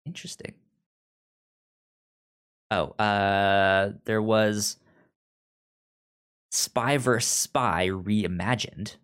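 Slow, halting podcast co-host, unclear gender. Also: sits low, steady tone, fairly bright tone, smooth. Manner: hesitant, stammering delivery. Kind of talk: casual book discussion.

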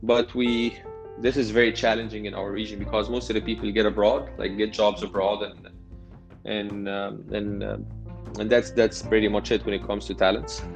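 A man's voice: on the low side, flat delivery, moderate pace, faint music behind. A man answering interview questions as a startup founder, hesitant and often repeating words as he restarts.

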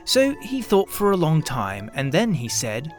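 Lively, animated male children's story narrator. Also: fairly high pitch, faint music behind. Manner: expressive, measured storytelling.

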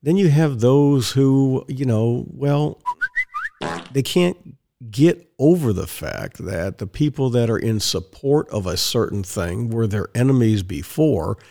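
A man hosting a solo podcast, his voice hoarse and raspy from strained vocal cords, talking in a rambling, digressive way with repeated words and restarts. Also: mid-range voice, expressive, takes it slowly, dark tone. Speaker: podcast host.

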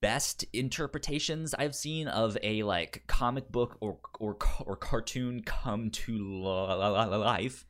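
A man's voice: fairly low pitch, slow, few pauses, fairly bright tone. A man co-hosting a podcast in casual chat, often repeating syllables and words as he stammers through a sentence. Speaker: podcast co-host.

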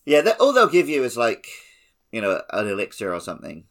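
A man's voice: mid-range voice, wildly swooping pitch, brisk. A man co-hosting a casual gaming podcast, explaining things in a conversational way. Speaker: podcast co-host.

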